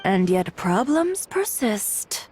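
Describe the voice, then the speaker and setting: A woman's voice: medium pitch, big pitch swings, takes it slowly, a little mellow, soft background music. A woman voicing a cool, confident fashion designer in a video game, composed and matter-of-fact. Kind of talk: video game character dialogue.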